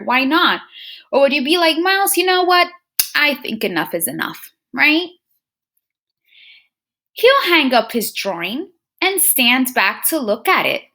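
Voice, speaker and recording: Expressive, slow-paced woman reading to children. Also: fairly high pitch. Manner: expressive, addresses children directly with frequent questions and musing hums. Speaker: teacher reading a picture book aloud to young pupils. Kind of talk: children's read-aloud with questions to the listeners.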